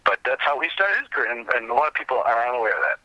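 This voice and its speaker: A man's voice: medium pitch, big pitch swings, racing, no echo, lo-fi sound. A man in his fifties chatting casually as an interview guest, telling stories in a rambling, enthusiastic way. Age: 50s. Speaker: podcast guest, old time radio expert.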